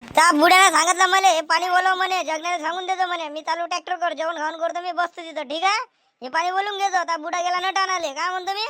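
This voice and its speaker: A woman acts out an overworked, cheeky village boy for a rural comedy cartoon. The voice is piercingly high and bright, with a whining manner and a Vidarbha Marathi accent. The sound is dry and close. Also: fairly even intonation, fast talker, no echo. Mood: complaining.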